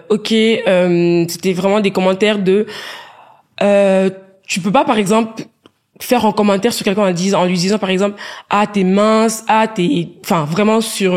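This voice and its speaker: Female podcast guest, lively and hesitant, medium pitch. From Guinea. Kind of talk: casual podcast chat.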